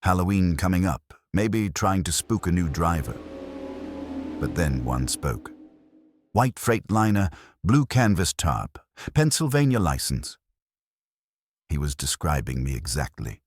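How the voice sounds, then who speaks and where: Male horror narrator, very deep pitch, raspy edge, suspenseful scripted storytelling, dry close sound. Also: big pitch swings, measured pace, pauses often, no echo, soft background music.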